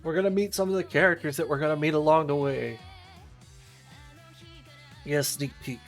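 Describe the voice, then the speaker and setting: Guy streaming a visual novel, casual n rambly, stops and starts a lot, bit of game music behind. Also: on the high side. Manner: rambling, halting explanations.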